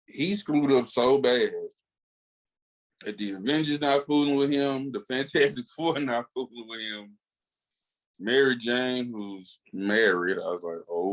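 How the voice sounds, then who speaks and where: A man's voice: medium pitch, poor audio. A man with a Southern US accent chatting casually as a comics podcast co-host, telling stories colloquially and acting out quoted dialogue. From Tennessee, rural southern United States. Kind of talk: casual animated chat.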